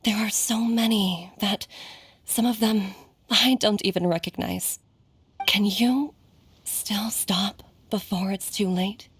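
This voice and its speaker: A woman playing a caring, regretful doctor and mother in video game dialogue, speaking in an earnest, measured way. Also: medium pitch, fairly even intonation, slightly rough, no echo. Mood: troubled, regretful. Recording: background music under dialogue.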